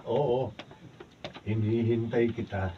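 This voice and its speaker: An actor playing a menacing, gruff suitor and kidnapper in a Filipino radio drama. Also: male voice, mid-range voice, fairly even intonation, extremely slow. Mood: sly, menacing. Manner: gruff, threatening. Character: menacing male suitor, a thuggish swindler and kidnapper. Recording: distant dull mic, loud room noise.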